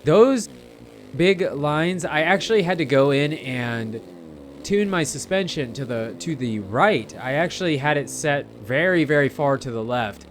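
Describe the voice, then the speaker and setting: A man's voice: a little high, expressive, measured pace, a little hiss or hum. A man giving casual, rambling gameplay commentary, chatting informally and speaking directly to his viewers. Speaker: gamer narrating gameplay.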